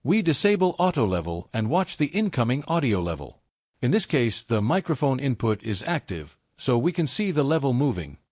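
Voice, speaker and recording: A man reading a scripted technical tutorial step by step in a measured, methodical way. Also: medium pitch, sing-song, darkish tone, no echo, studio quality. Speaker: tutorial presenter.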